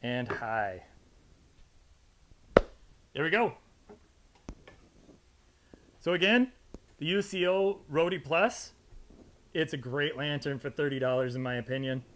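Higher-pitched American man reviewing gear. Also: expressive, slow, pauses often, a bit bright, a little hiss or hum. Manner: conversational, informal. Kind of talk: casual product review to camera. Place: indoor studio with fabric backdrop.

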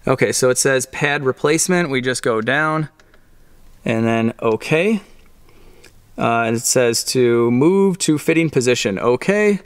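A man's voice: medium pitch, a little slow, a little hiss or hum. A man mechanic casually explaining a brake job in a home garage, with lots of "uh" fillers, talking to viewers as guys. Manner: relaxed, frequent "uh" fillers, addresses viewers as guys. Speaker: mechanic demonstrating brake service. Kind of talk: casual how-to walkthrough.

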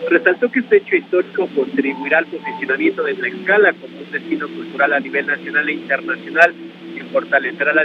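A man's voice: a little high, noisy background. Male reporter over a tinny phone line. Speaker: field reporter.

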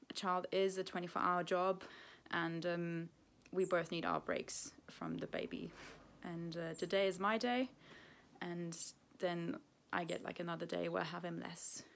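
Young woman vlogger, low voice, a bit of fry, relaxed chatty talk to a handheld camera outdoors. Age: young adult. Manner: relaxed, chatty, with soft laughs. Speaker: homesteading vlogger. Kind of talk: casual vlog talk to camera. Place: outdoor shelter on a rural homestead.

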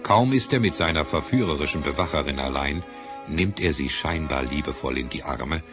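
A man's voice: rumbling low, expressive, moderate pace, over music. A man giving dramatic scripted narration for a German radio play.

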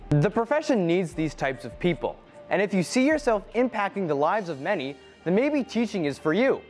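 A teenage student host asking interview questions and reading a scripted wrap-up in an earnest way. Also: male voice, sits high, sing-song, normal speed, soft background music.

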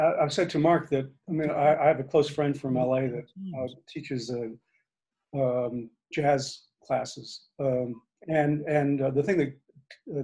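An older man whose first language is Hungarian, chatting on a video call in a reflective, halting way with many fillers. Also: medium pitch, mellow, rough recording. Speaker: discussion group member. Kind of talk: casual video call chat. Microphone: video call laptop mic.

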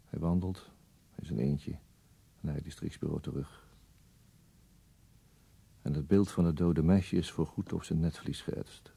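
An actor playing a weary, brooding police detective in a radio play monologue, deliberate and resigned, with a husky, gravelly voice. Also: male voice, rumbling low, fairly even intonation, mellow, exaggerated voice. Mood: somber.

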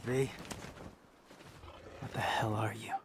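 A man's voice: on the low side, steady tone, slow, over music. A man playing a cocky, blunt young demon hunter in a video game, casual and sarcastic. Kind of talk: cinematic video game acting.

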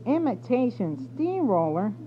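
Boastful storybook steam engine, read by a man, high and cross, tinny phone-like sound. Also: big pitch swings, a little slow, exaggerated voice. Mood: cross, indignant. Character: boastful, cross male tank engine, easily indignant. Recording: tinny phone-like audio with background noise.

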